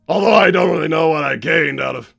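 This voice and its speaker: A man voicing a hammy, short-tempered mad-scientist villain on a comedic livestream, blustering and theatrical with a gravelly rasp. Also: fairly high pitch, a little fast, faint music behind, heavily acted. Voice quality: gravelly, raspy shouting.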